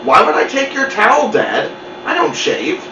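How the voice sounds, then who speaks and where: A man's voice: extremely high pitch, wildly swooping pitch, normal speed, gravelly, voice pushed up, exaggerated voice. A man voicing a teasing, know-it-all boy in a children's storybook. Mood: smug. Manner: assertive, teasing. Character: cheeky know-it-all young boy, teasing big brother. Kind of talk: children's storybook read-aloud. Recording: muffled, roomy, distant mic with noise.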